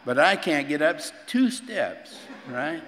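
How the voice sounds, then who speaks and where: An eighty-year-old man giving personal testimony at a recovery meeting, halting and repeating himself with frequent chuckles. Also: fairly high pitch, expressive, a bit bright, in a large hall. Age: 80s. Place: large meeting room with tables.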